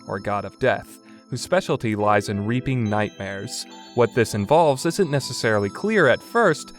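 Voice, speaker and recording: Animated man giving a review over music. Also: mid-range voice. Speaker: podcast host reviewing visual novels. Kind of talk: semi-scripted review commentary. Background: background music.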